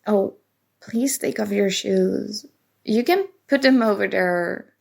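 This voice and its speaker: A young woman playing an awkward, nerdy college student in an ASMR roleplay, flustered and halting, prone to self-deprecating rambling. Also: mid-range voice, creaky, a little mellow, exaggerated voice. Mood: apologetic, nervous. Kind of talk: ASMR roleplay, acted casual conversation.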